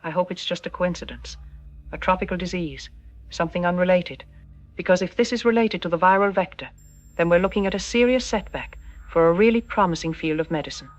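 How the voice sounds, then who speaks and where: A woman playing a thoughtful, cautious science expert, measured and candid in an audio drama interview. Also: fairly low pitch, no echo, natural voice. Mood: cautious, concerned. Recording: music bed under the voice.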